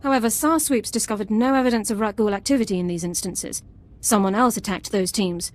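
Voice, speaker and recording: A woman's voice: mid-range voice, a little fast, lightly acted. Actress as a formal military sergeant woman. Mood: formal, grave. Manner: formal, regimented, clipped military phrasing. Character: crisp, by-the-book female military sergeant, formal and dutiful. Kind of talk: video game character acting. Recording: game dialogue over background music.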